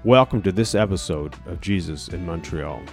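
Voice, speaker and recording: A man reading a formal, measured introduction to a podcast episode. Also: low voice. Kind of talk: scripted introduction. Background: faint guitar music.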